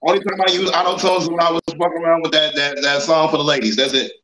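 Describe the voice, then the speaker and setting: A man's voice: on the high side, steady tone, quick pace, sharp tone. A man, bantering in a laid-back, boastful way as a guest in a casual chat. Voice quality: raspy. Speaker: guest, singer. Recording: roomy with background noise.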